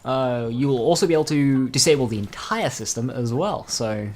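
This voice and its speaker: A man with a medium-pitched voice gives casual commentary on gaming news. He speaks informally, as a video creator does to viewers, and slips in dry, joking asides. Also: lively intonation, normal speed, a little mellow.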